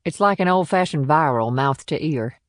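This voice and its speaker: A wry, weary small-town policewoman played by a male audiobook narrator, pitched up from his usual voice. The delivery is folksy and matter-of-fact, in short clipped sentences. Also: lively intonation, normal speed, no echo, studio quality, lightly acted. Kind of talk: audiobook character dialogue.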